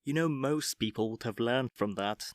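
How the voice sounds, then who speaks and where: An actor playing a dry, teasing investigator's sidekick in an audio drama, given to deadpan banter. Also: male voice, mid-range voice, normal speed, dry recording, natural voice.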